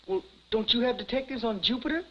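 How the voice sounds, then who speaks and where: An actor playing a naive, earnest young amateur detective with boyish, stammering eagerness in a 1950s television comedy. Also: male voice, extremely high pitch, fast talker. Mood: eager. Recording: muffled, hissy 1950s television soundtrack.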